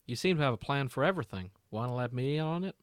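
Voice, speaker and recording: A man's voice: mid-range voice, lively intonation, fast talker, no room sound, close to an everyday voice. A nonchalant middle-aged male bore, put on by a man, from a comic story reading. The voice is bland yet chipper, sitting a touch lifted over a dry recording. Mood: bland curiosity.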